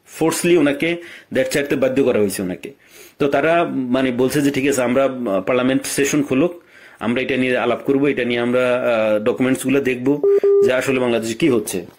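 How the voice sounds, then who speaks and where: A man with a Bangladeshi accent speaking deferentially on a recorded phone call, often repeating himself and halting as he searches for words. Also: medium pitch. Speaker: political party organiser. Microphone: telephone.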